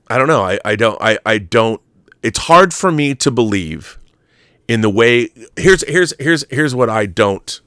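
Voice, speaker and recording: A man's voice: fairly low pitch, fairly bright tone, no echo, clean studio sound. An American man in his fifties hosting a casual talk show, rambling animatedly and emphatically with opinionated tangents and the odd swear word. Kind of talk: casual, rambling opinionated live chat answering viewer messages.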